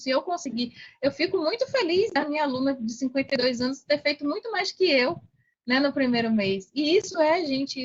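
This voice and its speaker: Brazilian woman, higher-pitched, earnest and emphatic. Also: slightly rough, lo-fi sound. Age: 40s. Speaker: podcast guest, affiliate marketing coach. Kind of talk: casual motivational interview talk.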